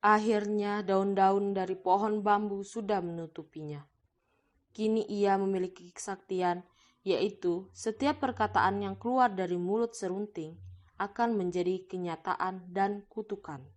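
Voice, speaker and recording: Woman narrating a folktale, medium pitch. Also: unhurried.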